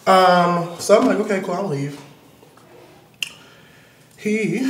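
A young American man with a fairly high voice tells candid stories to a live audience. His delivery is playful, sassy and full of slang, and the room around him rings with clear echo. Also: slight background noise. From Detroit, USA. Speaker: performance artist. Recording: roomy live gallery recording.

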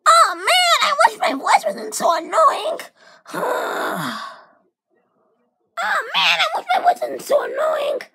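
A performer voicing a whiny mushroom boy with a very high, shrill, squeaky voice in a homemade puppet skit. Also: huge pitch swings, slow pace, vocal fry, sharp tone, raised voice, exaggerated voice. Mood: whining.

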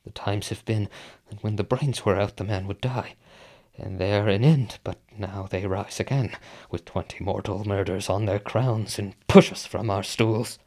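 An actor playing a guilt-haunted, volatile king in a Shakespearean radio drama, with theatrical, deliberate verse delivery. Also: fairly low pitch, steady tone, a little mellow. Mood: shaken, brooding.

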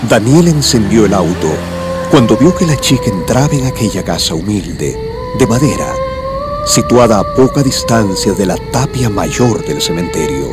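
A man's voice: on the low side, wildly swooping pitch, normal speed. A man giving scripted dramatic narration for a mystery radio play. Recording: music bed behind the voice.

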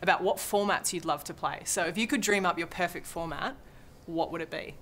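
A woman's voice: lowish voice, a little fast, a bit bright. A young woman hosting a casual group chat in a joking, informal manner. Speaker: host. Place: indoor lounge, seated around a table. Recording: faint background room noise.

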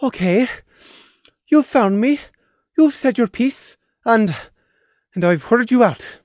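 A man voicing a bitter, hurt young mare who snaps and shouts in a high, shrill voice. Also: animated, takes it slowly, no room sound, studio quality, exaggerated voice. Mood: controlled.

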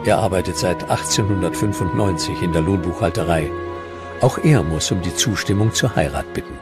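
A man narrates a historical documentary in a fairly low voice. He reads from a prepared script, with clearly audible music underneath. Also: fairly quick.

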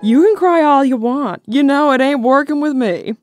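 A woman voicing a weary, sardonic guardian of a child in an animated comic dub, dry and exasperated. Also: high-pitched, moderate pace, natural voice. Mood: stern. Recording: music behind the voice.

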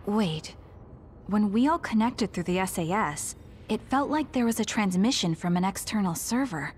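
A woman's voice: medium pitch, animated, normal speed, a little mellow, a little hiss or hum, lightly acted. A young woman playing a composed, serious squad leader in an anime game. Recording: music behind voice.

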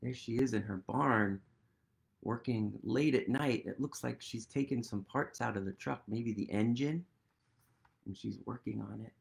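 A man in his thirties reading a picture book aloud to young children, gently and expressively, with pauses and questions. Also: mid-range voice, creaky. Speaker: storytime reader.

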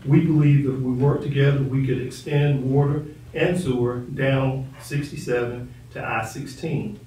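A man speaking as a city official in a formal public meeting, diplomatic and measured, in a council chamber. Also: medium pitch, fairly even intonation, mellow. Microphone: distant room microphone. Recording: echoey meeting room, distant mic, faint noise.

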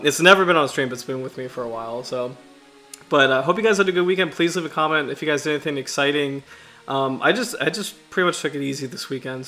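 A man in his thirties from the US Chesapeake Bay region, hosting a casual livestream in a halting, self-deprecating way, his throat slightly raspy from allergies. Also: mid-range voice, bright, forceful tone. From United States, Chesapeake Bay region. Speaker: livestream host.

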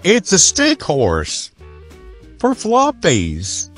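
Woman as a casual, slow-talking cartoon owner. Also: lowish voice, wildly swooping pitch, soft background music, voice pushed down, heavily acted. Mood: friendly. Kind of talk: cartoon comic dub.

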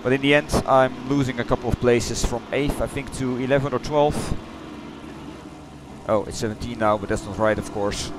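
A man's voice: mid-range voice, fairly even intonation, background noise. Dutch-accented man talking over a race replay. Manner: halting, rambling, self-correcting. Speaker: sim racing streamer. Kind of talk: casual replay commentary. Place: home gaming room.

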